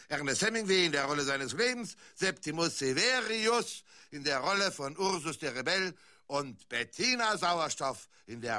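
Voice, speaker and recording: A man's voice: on the high side, sharp tone. A man playing a bombastic stage player who declaims in a melodramatic, theatrical way for a radio comedy. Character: bombastic male player declaiming verse and announcing.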